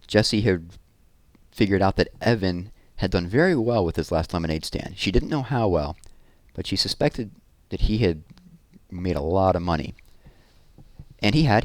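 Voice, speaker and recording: A man with a low voice reads a children's novel aloud. His narration is expressive, and the recording is close and dry, with very little room sound. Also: no room sound. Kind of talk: expressive children's chapter book read-aloud.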